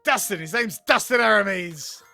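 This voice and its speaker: A man's voice: sits high, lively intonation, normal speed, gravelly, bright, forceful tone, faint music behind. A man reading a visual novel aloud in a theatrical, silly, playful way, as a gaming video host.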